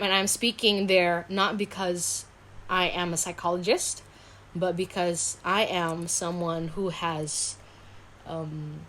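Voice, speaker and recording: A woman from Indonesia with a fairly low voice talks casually as an interview guest. She is a counselor, speaking in an informal, candid and self-reflective way. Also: fairly bright tone, low-quality recording. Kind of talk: casual video interview chat.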